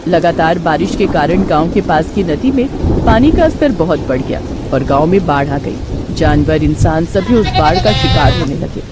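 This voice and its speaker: A woman storyteller reading a scripted moral tale in an expressive, dramatic way. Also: sits low, normal speed, very noisy.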